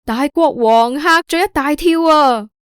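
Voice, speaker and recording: Slow-paced Hong Kong woman storyteller. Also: high-pitched, no echo, clean studio sound. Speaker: storyteller narrator. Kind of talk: scripted children's story narration.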